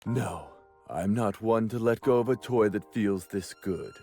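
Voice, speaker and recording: An actor playing a sleazy, seductive fallen angel villain in a video game, teasing and theatrical. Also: male voice, fairly low pitch, a little slow, a little mellow, faint music behind. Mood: smug, teasing. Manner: drawling, teasing, mock-sweet. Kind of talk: dramatic video game voice acting.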